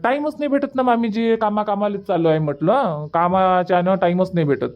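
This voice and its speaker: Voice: mid-range voice, a little fast, lo-fi sound, close to an everyday voice. A performer voicing a polite, busy young village man in a Marathi cartoon comedy, with a Varhadi accent and a respectful, coaxing manner. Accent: Varhadi Marathi from Vidarbha.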